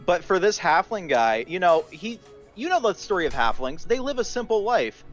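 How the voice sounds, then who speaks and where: A man's voice: high voice, big pitch swings, moderate pace. A man in casual, joking chat as a tabletop roleplaying player, blunt and wry in the way he talks. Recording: dull lo-fi audio, faint music.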